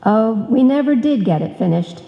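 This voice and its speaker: A woman's voice: on the high side, lively intonation, moderate pace, creaky, muffled recording. An actress playing a sweet, naive, scatterbrained young woman in an old-time radio comedy. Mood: cheerfully naive. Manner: naive, guileless. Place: live stage performance in a hall. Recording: live recording in reverberant hall.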